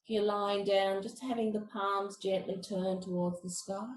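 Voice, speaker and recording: A woman teaching meditation, giving guided relaxation instructions in a calm, measured, soothing manner. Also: medium pitch, reverberant, slight background noise, rough recording.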